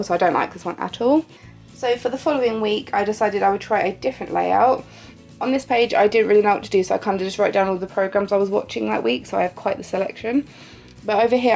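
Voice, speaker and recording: A woman's voice: medium pitch, gravelly, darkish tone. A woman with a blocked nose and a nasal sound, chatting casually and poking fun at herself as she walks through her pages. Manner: chatty, self-deprecating, laughs lightly at herself. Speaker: vlogger.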